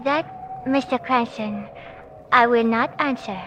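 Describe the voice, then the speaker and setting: An actress playing a loyal, secretive foreign maidservant in a 1940s radio mystery, speaking formal broken English. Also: female voice, on the high side, slow, fairly bright tone, slight background noise. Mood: defiant. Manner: formal clipped broken English, dropped articles. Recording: vintage 1940s radio recording.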